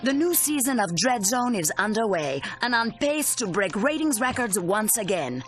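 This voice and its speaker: A woman's voice: fairly high pitch, very animated, normal speed. A woman playing a polished, smug sci-fi TV news co-anchor with a broadcast delivery. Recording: music bed behind the voice.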